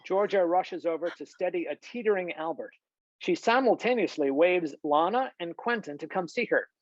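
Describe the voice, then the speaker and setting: A woman's voice: lowish voice, lively intonation, moderate pace, no echo. Woman narrating, dry slightly dull sound. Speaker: narrator reading stage directions. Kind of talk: scripted narration at a table read. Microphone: video call.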